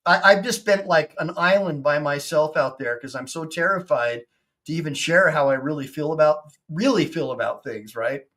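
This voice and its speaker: A man in his fifties, a personal injury attorney hosting a casual video-call chat, excitable and full of stammering restarts. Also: fairly high pitch, moderate pace. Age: 50s.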